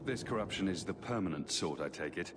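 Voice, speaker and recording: An actor playing a stubborn, guarded young refugee leader in a video game, with a curt, skeptical manner. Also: male voice, low voice, expressive, fairly quick, heavy background noise. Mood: somber.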